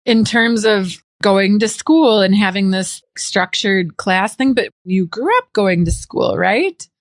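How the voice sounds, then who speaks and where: A woman's voice: mid-range voice, animated, slow pace, no room sound. A woman in her forties from the United States, hosting a podcast interview in an upbeat, friendly way. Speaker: podcast host.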